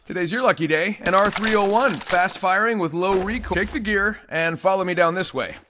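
A man's voice: high voice, fairly quick, sharp tone, a little hiss or hum. An actor voicing a cocky, joking young male combat trainer in a video game tutorial, wisecracking and breezy with theatrical delivery. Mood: breezy instruction. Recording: music behind the voice.

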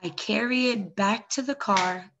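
Young adult female story time host, medium pitch, expressive read-aloud delivery aimed at small children. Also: measured pace, dull audio, slight background noise.

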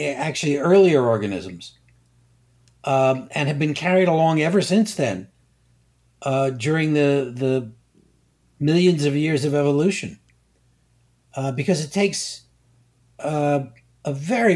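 Slow, deliberate older man explaining. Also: fairly high pitch, a little mellow. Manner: slow, deliberate, many hesitations. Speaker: guest scientist. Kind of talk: conversational science interview.

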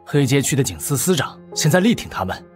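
A placating male henchman, acted by a man for an audiobook. He is obsequious and hesitant, with mid-range pitch that rises and falls freely over faint music. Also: sing-song, quick pace, natural voice.

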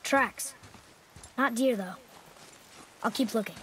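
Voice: mid-range voice, slow pace, a bit bright, a little hiss or hum. An actor playing an eager, earnest young boy in a video game, with a light, youthful voice. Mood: curious, earnest. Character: eager young boy, dutiful son seeking approval. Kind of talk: cinematic video game acting.

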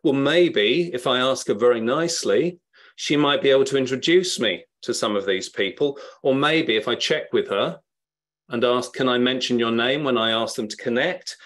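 A man's voice: medium pitch, moderate pace, a bit bright. Middle-aged man with a Norfolk accent. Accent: Norfolk English. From England. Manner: conversational, encouraging, thinks aloud while clicking. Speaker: webinar presenter. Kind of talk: screen-share webinar tutorial.

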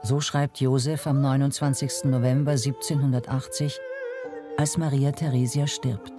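A man narrating a scripted historical documentary in a measured, dramatic manner. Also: mid-range voice, little pitch movement. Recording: music bed under voice.

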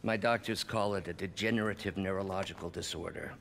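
An older man acting a grave, resigned, ailing scientist in a video game cutscene, speaking in a measured, weary way. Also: deep voice, steady tone, gravelly, a bit bright, a little hiss or hum.

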